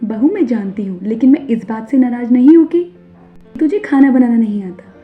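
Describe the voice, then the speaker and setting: A woman voicing a stern middle-aged mother-in-law in an animated moral story, scolding sharply and emphatically. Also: fairly high pitch, normal speed, close to an everyday voice. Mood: reproachful, firm. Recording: narrow phone-like sound over music.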